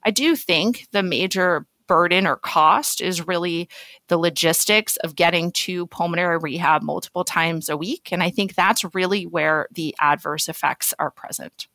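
A woman speaking as an expert medical guest in an interview, explaining in an articulate, measured way. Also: fairly low pitch, sharp tone, dry recording.

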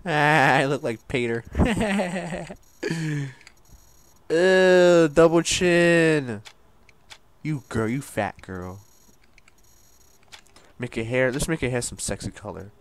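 A man casually commenting on a video game he plays, joking and laughing, jumping from loud squeals to low mumbles. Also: expressive, slow, long pauses. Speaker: gameplay streamer. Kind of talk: casual let's-play commentary.

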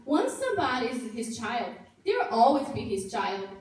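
A woman's voice: fairly high pitch, sing-song, moderate pace. A woman voicing helpful girl puppet Ashley. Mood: explaining. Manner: patient, explaining. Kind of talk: church puppet show. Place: church hall, behind puppet booth. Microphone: far camera. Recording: very echoey hall from far off.

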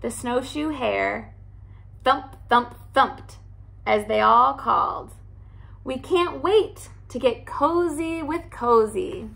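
A young woman, a teacher, reading a children's picture book aloud in an animated, expressive way at home. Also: high-pitched, slow pace, gravelly. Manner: animated, expressive storytelling with character voices. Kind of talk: storybook read-aloud. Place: living room by a fireplace, indoors.

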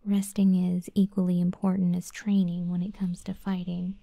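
Woman as a whispering, dark-voiced tsundere scorpion girl. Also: fairly low pitch, completely flat delivery, normal speed, no room sound. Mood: defensive, proud. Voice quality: soft, breathy whispering. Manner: grudging, flustered, haughty. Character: proud, gruff tsundere scorpion monster girl fighter. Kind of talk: whispered ASMR audio roleplay.

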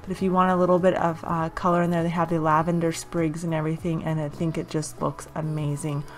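A woman's voice: deep voice, level tone, fast talker. Enthusiastic woman vlogging home decor. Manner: enthusiastic, gushing. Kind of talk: casual shopping and decorating vlog. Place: home dining room.

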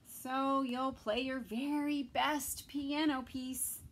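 Middle-aged woman, story time reader for small children. Slow, expressive, fairly high voice, home recording. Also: a little hiss or hum. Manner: slow, expressive, pausing between phrases. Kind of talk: reading a picture book aloud to toddlers. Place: small indoor room with bookshelves.

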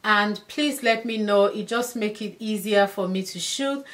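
A woman in her thirties talks viewers through outfits in her dressing room, calling them her darlings. She speaks slowly and easily at a middle pitch. Her voice is slightly hoarse, as if she is losing it. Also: a bit bright. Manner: chatty, affectionate, addresses viewers as darlings. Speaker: fashion vlogger. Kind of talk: casual styling talk to camera.

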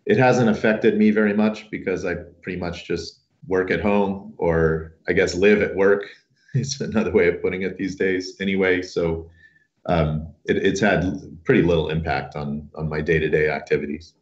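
A middle-aged man with a very deep voice, scratchy from a cold, answers interview questions from home. He talks in a relaxed, modest way with many fillers. The audio is roomy and muffled, like a video call. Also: moderate pace. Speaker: guest professor. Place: home room.

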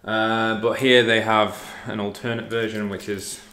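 A man's voice: fairly low pitch, little pitch movement, unhurried, bright, forceful tone. A man in his thirties with an English accent, giving a casual, laid-back hands-on DIY plumbing tutorial. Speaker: DIY renovator and plumber demonstrating pipework. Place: indoors, empty room under renovation. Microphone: handheld or propped camera at close range. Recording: echoey bare room, some noise.

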